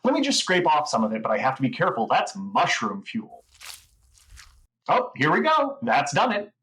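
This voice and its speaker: A man's voice: on the high side, huge pitch swings, normal speed, fairly bright tone, a little hiss or hum. Man playing a wildly swooping muttering tinkerer. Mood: absorbed, careful. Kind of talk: audio drama acting.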